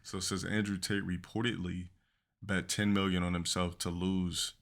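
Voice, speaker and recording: A man with a very low voice reacts to clips on his stream. His tone is gravelly and rough with fry. He talks bluntly, swears freely and thinks out loud. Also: flat delivery, normal speed. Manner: blunt, profane, thinking aloud. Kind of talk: casual reaction commentary.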